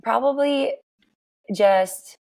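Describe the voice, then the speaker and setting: A woman in her forties with a raspy voice, chatting and telling stories as a podcast guest, laughing often. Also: high-pitched, extremely slow, darkish tone. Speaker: guest, worship singer. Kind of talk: casual interview chat.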